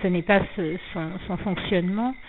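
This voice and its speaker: A woman with a lowish voice speaks slowly through her faith testimony, full of hesitations, fillers and restarts, with a slight frog in her throat. The sound is thin and tinny like a phone line, with faint noise behind it. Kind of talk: anonymous spoken faith testimony.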